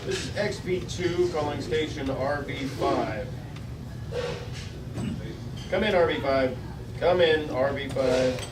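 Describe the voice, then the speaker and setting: A man's voice: on the high side, slow, long pauses. A man playing a stern, chauvinist space ranger captain, reading 1950s space serial dialogue at a table read. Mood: businesslike. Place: busy restaurant table read. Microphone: distant camera microphone. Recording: echoey noisy restaurant, far from camera.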